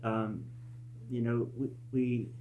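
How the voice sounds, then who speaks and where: A man in his late thirties telling a personal story casually, in a halting, reflective and earnest way. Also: medium pitch, level tone, narrow, tinny audio. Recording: echoey room with faint hum.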